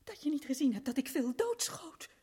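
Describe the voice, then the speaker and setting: A woman's voice: sits high, sing-song, normal speed, warm tone. An actress voicing a frightened young woman awaiting her hanging in a radio drama, whispering and faltering. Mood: accusing.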